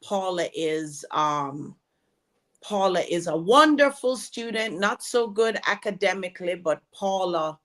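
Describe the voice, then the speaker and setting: A Jamaican woman in her forties, a guest telling stories about her childhood in an unhurried way. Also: fairly low pitch, animated. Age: 40s. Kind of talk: conversational interview.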